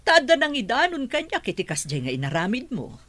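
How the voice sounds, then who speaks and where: A woman's voice: a little high, huge pitch swings, fairly quick, fairly bright tone, no echo, exaggerated voice. An actress with an Ilocano accent playing a stern mother who lectures her daughter firmly. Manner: firm, lecturing. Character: stern scolding middle-aged mother.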